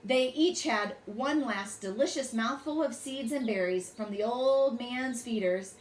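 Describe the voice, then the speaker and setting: A woman reads a bedtime story aloud to children with expressive, dramatic phrasing. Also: a little high, measured pace. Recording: roomy echo, faint background noise.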